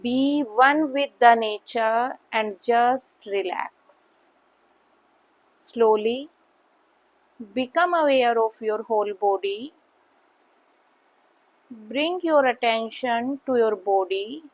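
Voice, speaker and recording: A woman with an Indian accent leads a guided meditation as an instructor, speaking calmly in short instructions with long pauses. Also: a little high, low-quality recording.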